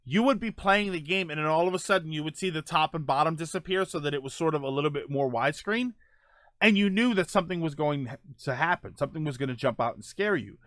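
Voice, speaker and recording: A man's voice: fairly high pitch, quick pace, a bit bright, dry recording. A middle-aged man hosting a casual podcast, rambling through viewer comments with many fillers and self-corrections. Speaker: podcast host.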